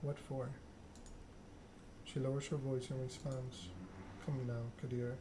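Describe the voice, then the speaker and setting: Man narrating in a flat ASMR style, noisy background. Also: mid-range voice, measured pace. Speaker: video game player reading the story aloud. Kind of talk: ASMR game read-along, narration with dialogue.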